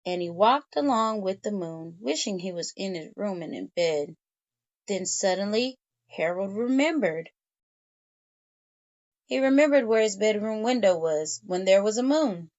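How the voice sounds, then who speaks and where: A slightly dull, lo-fi recording of a woman reading a children's picture book aloud. Her voice sits at a medium pitch for a woman. She narrates expressively, with deliberate phrasing and stretched emphasis meant for young listeners. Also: expressive, darkish tone. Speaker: children's story reader.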